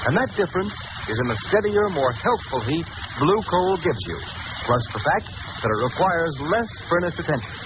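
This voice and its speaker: A man's voice: a little high, big pitch swings, moderate pace. A man announcing a scripted old-time radio advertisement in an emphatic, polished, formal manner. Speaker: announcer, sponsor pitchman. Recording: noisy, muffled vintage radio recording.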